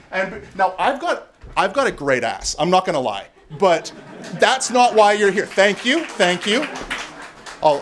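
A middle-aged man from Canada giving a loud, gregarious live talk to a crowd in a bar, joking and swearing as he goes. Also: high voice, slight background noise. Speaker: conference speaker. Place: bar venue before live audience.

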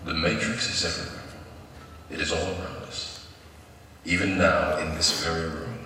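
An actor playing a calm, grave mentor who speaks in slow, measured, solemn tones, heard as a film clip over hall speakers. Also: male voice, extremely low pitch, distant mic, a little hiss or hum, full character voice. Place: large conference hall.

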